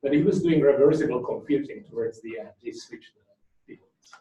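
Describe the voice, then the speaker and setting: A middle-aged man with a Slovak accent speaks as an academic at an informal conference. His pitch is average, and he is picked up from a distance in a reverberant room. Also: normal speed, mellow, highs cut off. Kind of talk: informal conference talk with reminiscences. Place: indoor lecture room at a workshop. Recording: echoey room, distant microphone.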